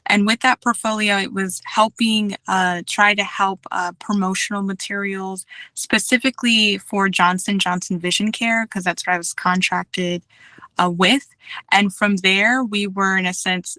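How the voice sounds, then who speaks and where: A woman answering job interview questions over a video call, measured and careful, with frequent fillers and restarts. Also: medium pitch, sharp tone, dry recording, rough recording. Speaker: job candidate.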